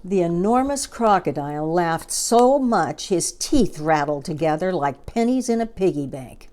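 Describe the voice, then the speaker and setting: A woman's voice: lowish voice, lively intonation, unhurried, a little mellow. A woman reading a children's story aloud as a storyteller, expressively and with dramatic emphasis.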